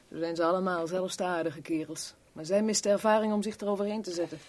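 A woman's voice: on the low side, steady tone, fairly quick, darkish tone, close to an everyday voice. An actress playing a worldly, sardonic kept woman in a 1970s radio play, with a wry, teasing, blunt way of talking. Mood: cynical, frank.

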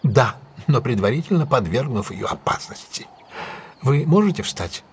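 An actor playing a self-assured gentleman detective in an audio drama, decisive, courteous and authoritative. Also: medium pitch, very animated, normal speed, a little hiss or hum. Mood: composed, apologetic. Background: music.

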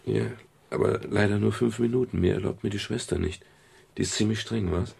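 An actor playing a wry, world-weary private detective with a measured way of speaking, in a 1970s German radio crime drama. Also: male voice, on the low side, gravelly, darkish tone, breathy edge, exaggerated voice. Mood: tender.

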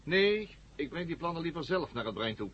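An actor in a 1970 radio drama playing a gruff, wary space crewman. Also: fairly high pitch, sing-song, fairly quick, a bit bright. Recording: muffled old radio recording, clear hiss.

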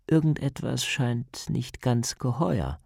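A man's voice: mid-range voice, sing-song, takes it slowly, gravelly, darkish tone, no echo. A man, narrating a scripted, atmospheric radio documentary as its reporter.

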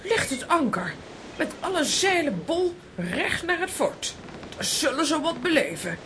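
Casting: commanding female adventurer for children's comic drama, high pitch, brisk and bossy, faint noise behind. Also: big pitch swings, a bit bright, full character voice. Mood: determined. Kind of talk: children's audio drama acting.

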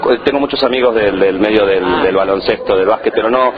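An Argentine man answering interview questions as a foundation representative, halting and repeating words, with many fillers. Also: on the low side. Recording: muffled radio broadcast with crowd behind.

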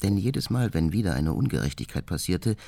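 An actor playing an embittered, vengeful bomber hermit reading his secret diary in a radio drama, gravelly and husky with controlled anger. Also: deep voice, big pitch swings, a little fast, mellow, no room sound, heavily acted. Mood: brooding, vengeful.

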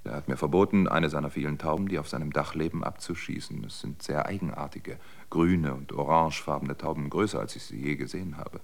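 A man playing a wary, solitary survivor in a radio drama, speaking in a measured, matter-of-fact, brooding way. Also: a bass voice, vocal fry, exaggerated voice. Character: wary, sober male survivor keeping a solitary log. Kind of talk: German radio drama monologue and dialogue.

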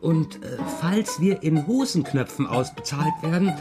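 A man's voice: a little high, animated, unhurried, music in the background. An actor playing a playful, evasive middle-aged father who roams about and writes, with whimsical, hesitant phrasing in a children's radio play. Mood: cheerful scheming. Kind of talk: German children's radio drama dialogue.

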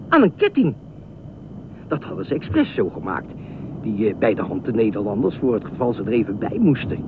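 Tinny radio play, eccentric lifeguard, anxious rambler, swooping intonation, insistent. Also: male voice, fairly high pitch. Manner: rambling, hesitant, repeats himself, insistent. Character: eccentric talkative male lifeguard, anxious, pleading and obsessive. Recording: tinny band-limited radio play, faint noise.

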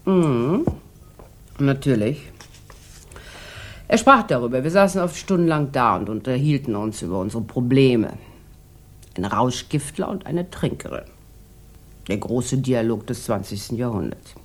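A jaded, hard-drinking woman with a very low voice, in a 1960s German radio crime play. She is sharp-tongued and languid, coating her words in mocking irony. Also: lively intonation, unhurried, long pauses, full character voice. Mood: wry, melancholy.

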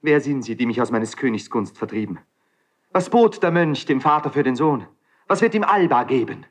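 A man's voice: medium pitch, expressive, moderate pace, close to an everyday voice. A man playing an ardent, hot-blooded young prince in classical verse radio drama, declaiming with passion. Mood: urgent, impassioned. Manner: impassioned, declamatory.

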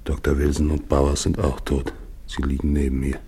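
An actor playing a dying, grieving expedition leader in a German radio play, speaking solemnly with repeated phrases in a gravelly, husky voice. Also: male voice, rumbling low, little pitch movement, fairly quick, dark tone, exaggerated voice. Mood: desolate, fading. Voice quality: gravelly, husky, at times whispered. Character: dying, grieving male polar expedition leader recounting his doomed march.

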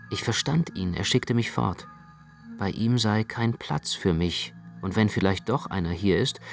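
A man's voice: fairly low pitch, close to an everyday voice. A man narrating a radio play in the first person as an anxious, brooding literary storyteller. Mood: anxious, resigned.

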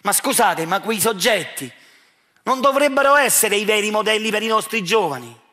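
A man's voice: sits high, lively intonation, normal speed, bright, forceful tone. A man with a Neapolitan accent performing a theatrical monologue, addressing his audience directly in a provocative, accusatory way with rhetorical questions. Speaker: stage actor performing his own monologue.

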